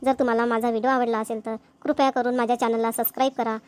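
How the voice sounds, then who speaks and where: A woman with a very high voice hosts her channel. She speaks directly to the audience of the video as she signs off. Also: level tone, racing. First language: Marathi. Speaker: channel host. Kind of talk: video outro addressed to viewers.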